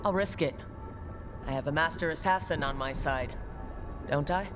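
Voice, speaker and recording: A woman's voice: low-pitched, moderate pace, over music, natural voice. A woman playing a resolute, loyal hero in a video game, speaking in an earnest, firm way. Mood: reassuring. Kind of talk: video game character acting. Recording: clear background noise.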